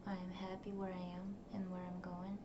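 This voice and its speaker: A woman's voice: on the low side, monotone, normal speed. Russian-speaking woman musing in a diary vlog. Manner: rambling, reflective, many fillers and restarts. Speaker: vlogger. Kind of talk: casual diary vlog to camera. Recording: distant, dull, noisy home recording.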